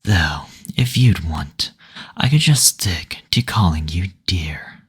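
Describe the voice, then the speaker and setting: A very husky, near-whispering male demon, played by a man, speaking low and unhurried. He is suave and courtly, teasing with coy endearments and lingering hums, as in an intimate roleplay audio. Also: animated, exaggerated voice. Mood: seductive, attentive.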